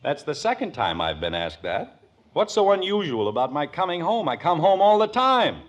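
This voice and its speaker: A man's voice: a little high, wildly swooping pitch, normal speed, highs cut off. An actor playing a sarcastic, exasperated middle-aged American suburban father in a 1950s radio sitcom. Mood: sarcastic, indignant. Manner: dry sarcasm, sputtering indignation. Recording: noisy old radio broadcast recording.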